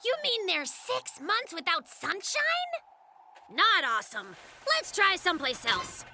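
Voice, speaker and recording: An excitable young polar bear cub in a children's cartoon, a boyish and boastful little inventor. The voice is very high and swoops around, with unhurried delivery full of exclamations and slang. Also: background noise, heavily acted. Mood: eager, opinionated. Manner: exuberant, exclamatory, youthful slang. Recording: children's cartoon music behind.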